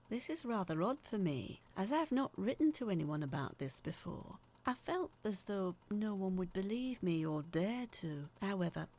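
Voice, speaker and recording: Expressive, creaky-voiced woman acting a haunted storyteller. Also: on the low side. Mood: confiding, wistful. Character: woman letter writer recalling eerie childhood tales, earnest, haunted. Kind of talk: dramatic scripted narration, read as a letter.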